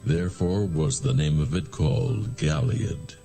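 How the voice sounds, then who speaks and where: A man narrates scripture solemnly in a low voice with heavy creak. His scripted delivery is measured, with faint music behind it. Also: very animated, mellow.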